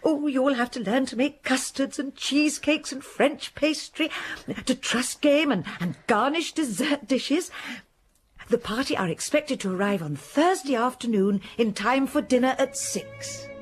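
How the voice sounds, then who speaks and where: An actress playing a fussy, chattering older housekeeper in a radio drama. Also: female voice, sits high, a little slow. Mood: bustling. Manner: bustling, chattering.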